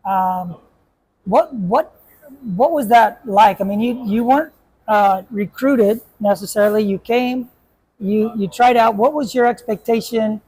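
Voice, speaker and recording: A man's voice: high voice, unhurried, darkish tone, husky, low-quality recording. A man, a basketball coach, hosting a casual chat and asking questions with a joking manner and many restarts.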